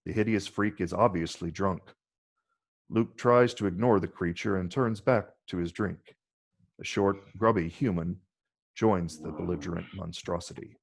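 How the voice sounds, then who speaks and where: Deep guy reading screenplay action lines, lots of gravel in the voice. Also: normal speed. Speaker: narrator reading stage directions. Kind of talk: scripted narration.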